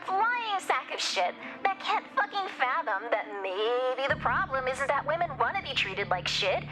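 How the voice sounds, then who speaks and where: A woman playing a fierce, blunt, foul-mouthed female rogue in an emphatic video game performance. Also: sits high. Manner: sharp-tongued, emphatic, exasperated. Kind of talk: animated video game cutscene acting. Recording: loud music behind the voice. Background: music.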